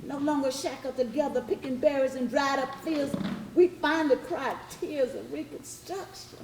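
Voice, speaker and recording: A woman's voice: sits high, normal speed, noisy background. A woman playing a formerly enslaved Southern woman, aching and defiant in love, in a poetic plantation-dialect stage monologue. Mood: impassioned. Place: stage before a live audience. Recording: roomy live theatre, audience murmuring.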